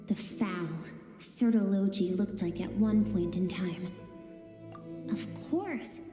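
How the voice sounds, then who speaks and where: An actress playing a calm female guide who tells things in a slow, soft, confiding way. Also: a little high. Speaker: narrator within the game. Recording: reverberant game audio with music.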